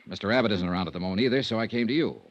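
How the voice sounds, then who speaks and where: An American actor playing a dogged, cool insurance investigator in 1950s radio detective style, clipped and dry. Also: male voice, on the low side, very fast, dry recording, natural voice. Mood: businesslike. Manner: clipped, dry, persistent questioning. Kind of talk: 1950s radio detective acting, first-person narration. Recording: dull vintage radio recording.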